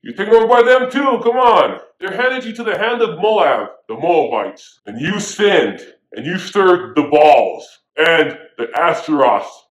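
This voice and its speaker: A man's voice: fairly high pitch, animated, unhurried, few pauses, muffled recording. A man playing a stern prophet who scolds his people, preaching in a casual, modern way full of slang. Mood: scolding. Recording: echoey room.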